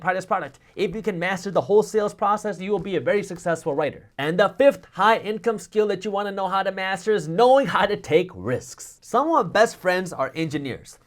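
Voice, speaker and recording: High-voiced man giving emphatic money advice. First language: Punjabi. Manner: emphatic, repetitive motivational delivery. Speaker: finance YouTuber. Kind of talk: direct-to-camera advice.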